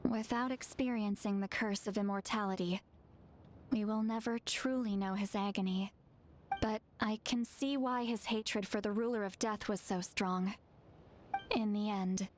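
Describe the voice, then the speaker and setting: A woman's voice: medium pitch, darkish tone, slight background noise, close to an everyday voice. A woman voicing an earnest fighter. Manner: earnest, reflective. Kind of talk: game cutscene voice acting.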